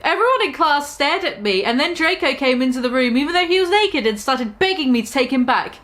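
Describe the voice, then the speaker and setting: A woman's voice: high voice, animated, fairly quick, a bit bright. A woman reading a story aloud as comic dramatic narration, theatrical and amused, barely keeping a straight face.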